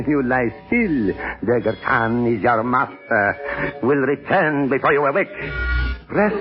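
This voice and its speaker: An actor playing a menacing, grandiose Indian mystic hypnotist in a theatrical, commanding 1930s radio drama style. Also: male voice, mid-range voice, animated, takes it slowly, lo-fi sound. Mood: gloating, commanding. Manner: theatrical, imperious, refers to himself in third person. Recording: band-limited 1930s radio recording with music.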